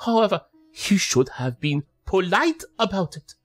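An audio drama spellcaster played by a man, an immigrant with great dignity and formal, precise wording. His voice is husky and rises and falls dramatically as he makes his courteous case. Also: high voice, very animated, unhurried, heavily acted. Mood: indignant. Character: proud, aggrieved immigrant male spellcaster, formal and polite.

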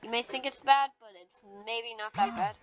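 A woman's voice: fairly high pitch, steady tone, moderate pace, music in the background. A woman improvising game roleplay chat, hesitant, often trailing off and repeating phrases. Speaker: player in a Roblox roleplay video.

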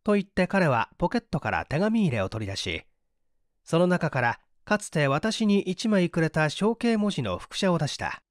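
Male audiobook reader, high voice, lively intonation, for literary mystery narration. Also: moderate pace, no room sound, clean studio sound.

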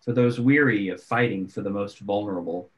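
A man who is an interpreter, voicing prayers in a measured, solemn way over a video call. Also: on the low side. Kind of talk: reading prayers aloud. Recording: dull lo-fi video call audio.

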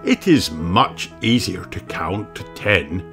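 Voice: sits low, big pitch swings, slow pace, a bit bright, soft background music. A narrator reading a picture book aloud for young children in a storytelling style.

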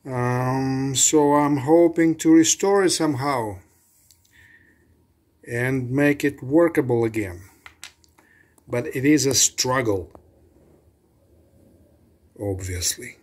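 A man casually narrating his hands-on repair work as a hobbyist, in a halting, matter-of-fact way. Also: mid-range voice, darkish tone. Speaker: hobbyist radio restorer. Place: indoor home electronics workbench.

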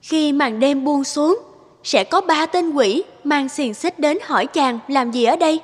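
A woman playing a gracious young enchanted queen in an animated fairy tale. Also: high voice, unhurried, big-room sound. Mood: solemn, imploring. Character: gracious young queen under a snake curse. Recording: music behind the voice.

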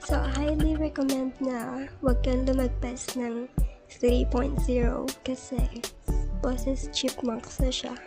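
A woman with a high voice and a Filipino accent narrates a casual how-to guide. She takes it slowly, step by step, and keeps checking in as she instructs. Music plays clearly behind her. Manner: instructional, often checking in with "okay?". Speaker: tutorial host.

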